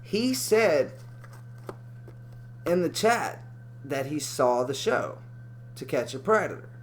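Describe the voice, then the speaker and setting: Profane, excitable male commentator. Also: a little high, takes it slowly, slight background noise. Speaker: video commentator. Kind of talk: casual reaction commentary.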